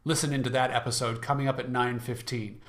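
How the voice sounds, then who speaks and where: A male announcer with a medium-pitched voice presents a vintage radio drama hour. He reads scripted host links in a measured, theatrical manner. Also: level tone, a bit bright.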